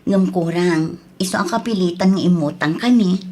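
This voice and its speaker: A woman's voice: on the low side, normal speed, warm tone, lightly acted. A woman playing a long-suffering young wife and mother in a radio drama, speaking in an earnest, emotive way. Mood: earnest, relieved. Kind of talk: Ilocano radio drama acting.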